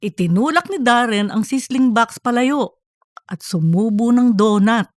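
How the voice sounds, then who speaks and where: A woman reading scripted comedic fiction as an audiobook narrator, in a lively storytelling way. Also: fairly high pitch, unhurried, no room sound, studio quality.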